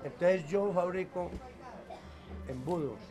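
A man's voice: high voice, slow pace. A middle-aged Colombian man chatting colloquially as a hardware stall owner being interviewed, often repeating and rephrasing himself. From Tuluá, Valle del Cauca, Colombia. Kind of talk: casual interview chat. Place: hardware stall in a public market. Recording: busy indoor market crowd noise.

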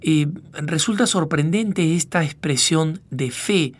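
A middle-aged man from Peru, raised in Argentina, hosting a reflective radio commentary in a measured, halting way as he searches for words. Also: fairly high pitch. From born in Peru, raised in northwestern Argentina. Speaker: radio program host, news agency director.

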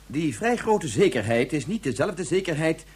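An actor playing an agitated physicist in a Dutch radio drama, speaking in an earnest, formal, explanatory way. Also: male voice, fairly high pitch, big pitch swings, moderate pace, a little mellow, breathy edge, heavily acted. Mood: earnest defence. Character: agitated male physicist defending his invention.

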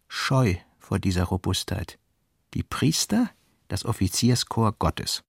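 Gravelly, husky male literary narrator. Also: mid-range voice, no room sound, studio quality. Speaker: narrator reading a novelist's working notes. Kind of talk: literary radio play narration. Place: dry studio.

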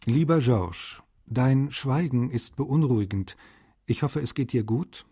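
A caring, fatherly translator reads letters to his friend for a radio feature, gently nagging. His voice is dark and husky, sitting at an average pitch. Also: lively intonation, measured pace. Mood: concerned, businesslike. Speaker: actor reading letters.

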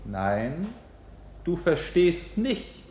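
Stern chaplain: slow, high-pitched, solemn radio drama in a reverberant space. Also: male voice, big pitch swings, darkish tone, exaggerated voice. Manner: solemn, declamatory. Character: stern, solemn prison chaplain, commanding man. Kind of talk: German radio play acting. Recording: echoey, cathedral-like space, faint noise.

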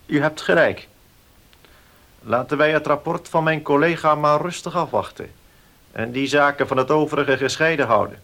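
An actor playing a formal notary in a Dutch radio play, speaking precisely and with measured care. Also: male voice, on the high side, fairly bright tone. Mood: businesslike. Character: formal, measured male village notary reading a will.

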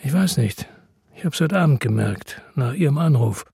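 Hard-boiled male investigator for noir radio drama: wary, brooding, mid-pitched, intimate dry sound. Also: lively intonation, normal speed, gravelly, mellow, husky, dry recording, full character voice. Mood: tense, insistent. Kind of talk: first-person noir radio drama narration and dialogue.

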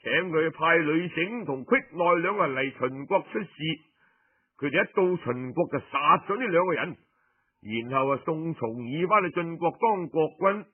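A man's voice: fairly high pitch, animated, normal speed, breathy edge, phone-quality sound. A man with a Cantonese accent voicing a deferential, scheming envoy with a formal, confiding manner in an oral storytelling. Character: deferential, scheming envoy quietly proposing a plot.

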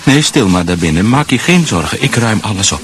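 A man's voice: on the low side, big pitch swings, a crowd in the background. An actor in a Dutch radio drama playing a confused, deranged man who mutters, halts and repeats himself. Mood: obsessive muttering. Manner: muttering, repetitive, halting. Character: deranged, confused man returning to his ransacked house.

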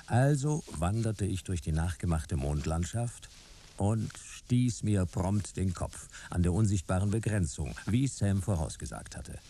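Low-voiced sci-fi private eye, radio play narration, clipped dramatic fragments, animated, noisy background. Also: male voice. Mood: wry, matter-of-fact. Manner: terse, dramatic, wry storytelling in short fragments. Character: hard-boiled male sci-fi private detective narrating his adventures.

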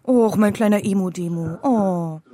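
A woman's voice: mid-range voice, measured pace, dark tone, natural voice. A woman playing a stressed, sardonic young sister in naturalistic radio drama dialogue.

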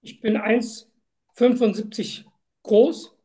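A woman role-playing a patient in a medical interview, giving short, deliberate, matter-of-fact answers. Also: a little high, fairly even intonation, dark tone. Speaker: simulated patient in medical exam practice.

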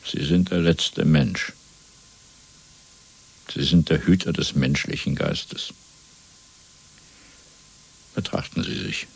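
A man playing a cold, fanatical Party interrogator in a radio drama, speaking in a measured, domineering, rhetorical way. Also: extremely low pitch, gravelly, slightly rough, exaggerated voice, poor audio. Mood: cold, cruel. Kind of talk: German radio drama acting.

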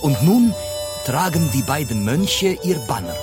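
Animated, unhurried Spanish poet. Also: male voice, a little high, over music. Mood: amused, proud. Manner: expressive, chuckling, peppers speech with Spanish. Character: amused, proud Spanish poet explaining local spectacle. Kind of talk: German radio drama acting.

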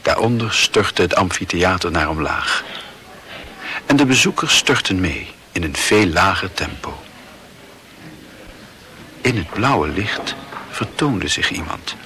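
A man's voice: low-pitched, animated, slow pace, long pauses, sharp tone. A man narrating a literary radio play as a scripted storyteller.

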